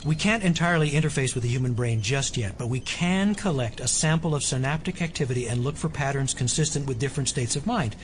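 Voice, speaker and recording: A man's voice: mid-range voice, fast talker, hardly any pauses, slight background noise, close to an everyday voice. A man playing an earnest, brainy hacker in an audio drama, explaining things in careful technical terms. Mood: earnest, explanatory.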